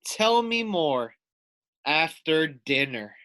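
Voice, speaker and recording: A man's voice: fairly high pitch, sing-song, very slow, bright, forceful tone, full character voice. A man voicing a large, dangerous, hungry polar bear queen in a children's storybook. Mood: hungry drawl.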